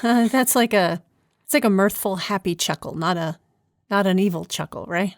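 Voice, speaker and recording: A woman's voice: mid-range voice, normal speed, dry recording. A woman hosting a podcast as herself, talking informally in a hesitant, conversational, wry way. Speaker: podcast creator and host, playing herself. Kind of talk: informal podcast talk in an audio drama.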